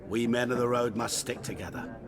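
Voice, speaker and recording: A man's voice: medium pitch, fairly even intonation, fairly quick, background noise, natural voice. An actor playing a sly, talkative male vagrant in a video game, wheedling and theatrical, dropping into whispers.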